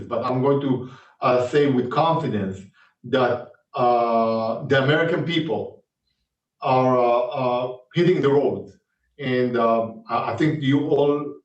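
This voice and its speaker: A man with an Israeli accent, an executive in an investor interview, speaking haltingly with many fillers. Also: mid-range voice. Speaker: company executive. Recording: distant roomy muffled call audio.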